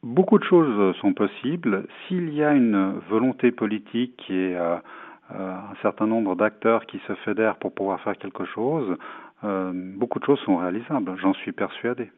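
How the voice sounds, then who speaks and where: A man giving formal spokesman answers in an interview, measured and hesitant. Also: fairly low pitch. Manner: measured, halting delivery with many hesitations. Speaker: tourism foundation president, interviewee. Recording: phone-like, narrow-band radio sound.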